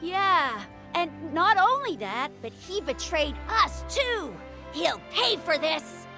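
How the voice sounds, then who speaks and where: A woman's voice: very high-pitched, sing-song, takes it slowly, long reverb tail, music in the background, exaggerated voice. A woman voicing a bossy, earnest young child full of hero worship in a video game, with an assertive manner. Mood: indignant.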